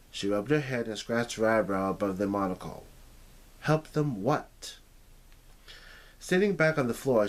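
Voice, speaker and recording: A man narrating a story as if for an audiobook, occasionally stumbling and repeating phrases as he reads. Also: on the low side.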